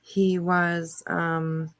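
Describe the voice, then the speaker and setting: A middle-aged woman hosting a livestream, chatting casually and answering viewer comments in a halting way with frequent pauses. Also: on the low side, little pitch movement, dry recording. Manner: halting, pausing often mid-sentence. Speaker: livestream host.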